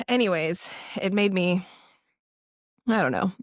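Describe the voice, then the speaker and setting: A young woman co-hosting a podcast, telling a story in an animated, casual way with laughs. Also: lowish voice, slow pace, no room sound, studio quality. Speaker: podcast co-host. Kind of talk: casual chat.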